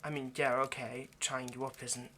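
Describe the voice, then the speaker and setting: A man's voice: medium pitch, flat delivery, normal speed, bright, forceful tone, close to an everyday voice. A man playing a nervous, centuries-old vampire kidnapper in an intimate ASMR roleplay, hesitant, stammering and apologetic as he speaks to the listener. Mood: anxious apologetic. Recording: faint background noise.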